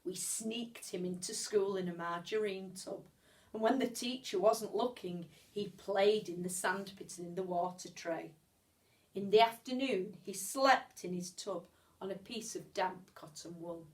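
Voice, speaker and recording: A woman reading a children's story aloud like a teacher, expressively, with measured pauses and stretched words. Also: medium pitch, a little mellow.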